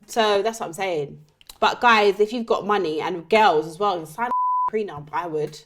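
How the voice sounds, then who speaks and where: A woman's voice: medium pitch, moderate pace, a bit bright. Chatty young London woman co-host. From United Kingdom. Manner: chatty, frank, acts out quoted lines. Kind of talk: casual chat.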